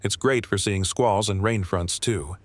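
Calm, low-voiced male narrator. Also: unhurried, no echo. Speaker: solo sailor narrator. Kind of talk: scripted voice-over narration.

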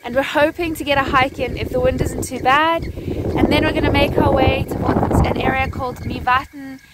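A woman's voice: high voice, steady tone, normal speed, very noisy. A young woman from South Africa chatting cheerfully to a phone camera held at arm's length outdoors, planning the day's travel. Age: young adult. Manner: cheerful, chatty, plans the day aloud. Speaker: travel vlogger. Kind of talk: casual travel vlog to camera. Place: outdoors, windy open countryside.

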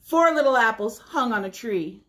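A middle-aged woman hosting a children's story time, reading aloud in a deliberate, sing-song way. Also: fairly high pitch, animated, a bit bright. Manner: slow, deliberate, sing-song repetition for young listeners. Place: small indoor room by a window.

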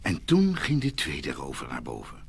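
Deep, creaky male storyteller. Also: huge pitch swings, normal speed. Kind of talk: scripted fairy tale narration.

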